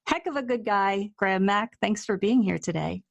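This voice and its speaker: A woman's voice: medium pitch, expressive, normal speed, vocal fry. Female podcast host, average register; informal, chatty delivery suited to an easygoing interview. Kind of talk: casual interview chat.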